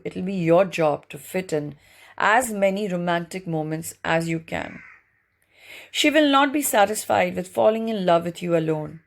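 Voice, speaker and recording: A woman's voice: medium pitch, vocal fry. A woman reading a book chapter aloud as scripted audiobook narration.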